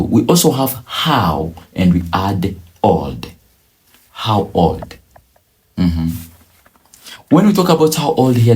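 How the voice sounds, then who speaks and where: A man teaching a beginner language lesson, drilling each phrase slowly and repeating it several times. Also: low voice, mellow. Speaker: English teacher.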